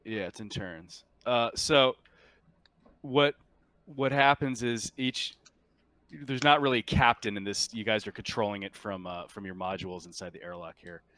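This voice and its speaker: Male game master, clear and forward tone, close dry sound, talking through rules off the cuff. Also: on the low side, fairly even intonation, normal speed, bright, forceful tone, dry recording. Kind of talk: explaining tabletop game rules in casual chat.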